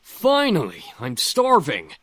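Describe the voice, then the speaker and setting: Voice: mid-range voice, wildly swooping pitch, slow, fairly bright tone, dry recording, exaggerated voice. An actor voicing a brash, impatient young male incubus with a blunt, growly manner in a game. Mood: grudging.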